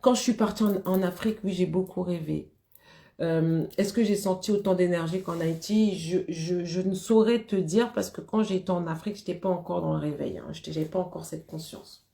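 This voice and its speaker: Woman host, lowish voice, chatty live-stream talk, laughs often, echoey room. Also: a little fast, vocal fry, warm tone. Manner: chatty, laughs often, greets viewers by name. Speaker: Vodou priestess hosting a live stream. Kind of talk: casual live-stream chat.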